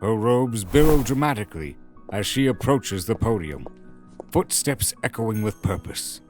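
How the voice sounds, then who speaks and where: A man's voice: lowish voice, animated, measured pace, darkish tone, soft background music. A man narrating a scripted fantasy story in a dramatic storytelling style.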